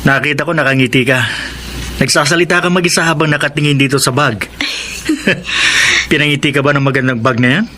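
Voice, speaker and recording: An actor playing a young, considerate boss in a radio drama, courteous and good-humored. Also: male voice, a little high, fairly even intonation, a little fast, long pauses, bright, forceful tone. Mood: amused, friendly. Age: young adult.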